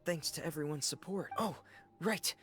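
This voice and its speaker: A woman's voice: low-pitched, moderate pace, fairly bright tone, soft background music. An actress playing a reserved, earnest young woman in an anime-style game, speaking hesitantly. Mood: hesitant, thoughtful.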